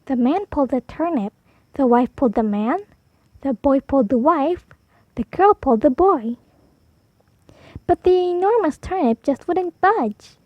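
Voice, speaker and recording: A woman storyteller reading a picture book aloud for preschoolers, animated, drawing out key words for emphasis. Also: high-pitched, a little slow, long pauses, no room sound, highs cut off. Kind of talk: expressive read-aloud for preschoolers.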